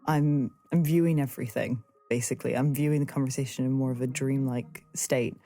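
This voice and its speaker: Woman interviewer, dark soft-edged tone, hesitant and searching, faint music behind. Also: a bass voice. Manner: hesitant, searching, reworks phrases aloud. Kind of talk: reflective arts conversation.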